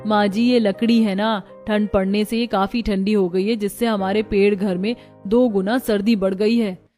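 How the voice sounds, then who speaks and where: A woman's voice: medium pitch, steady tone, normal speed, dark tone, natural voice. A woman voicing worried daughters-in-law in a cartoon family melodrama, earnest and plaintive. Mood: complaining. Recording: music bed behind the voice.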